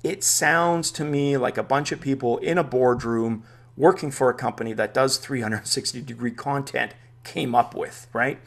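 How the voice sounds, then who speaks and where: A man's voice: mid-range voice, normal speed, creaky. A man whose first language is Dutch, hosting a casual VR news vlog from his home man cave, chatty and enthusiastic, thinking aloud as he goes. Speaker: VR news show host.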